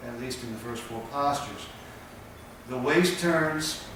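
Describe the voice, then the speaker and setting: A man explains movements slowly and patiently, breaking his sentences into short pieces. He stands well away from the mic in a very echoey space. A steady hiss of noise sits under his voice. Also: medium pitch. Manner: plain, patient explaining with pauses. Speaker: tai chi instructor. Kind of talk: spoken instruction while demonstrating. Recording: echoey, distant with background noise.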